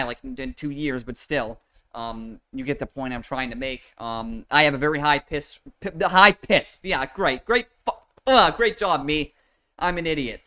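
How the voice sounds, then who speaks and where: A man's voice: medium pitch, sharp tone, clear, resonant tone. A young man with a nasal voice, giving rambling, profane livestream commentary on a football game. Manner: rambling and profane, with many fillers and restarts. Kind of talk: casual livestream sports commentary. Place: home room with a fan. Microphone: desk microphone with noise suppression.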